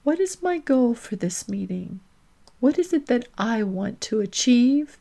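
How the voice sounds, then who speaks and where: Woman coach giving emphatic, deliberate advice. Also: fairly high pitch, sing-song, creaky, warm tone. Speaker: career coach and podcast host. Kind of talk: instructional coaching monologue.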